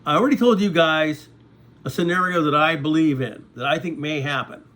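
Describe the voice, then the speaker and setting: A man's voice: a little high, brisk, fairly bright tone. A man in his sixties talking to his viewers in a rambling casual monologue, often stopping to ask "okay?". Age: 60s. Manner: conversational, repeats "okay?" to check in with viewers. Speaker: vlogger.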